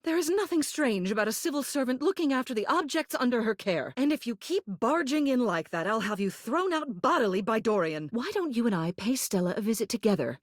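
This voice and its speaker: A woman's voice: on the high side, sing-song, fairly quick, few pauses, no echo, clean studio sound. A woman playing a haughty, formal mayor who is secretly tender, in scripted video game dialogue. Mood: brisk, imperious. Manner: prim, formal, officious, clipped commands.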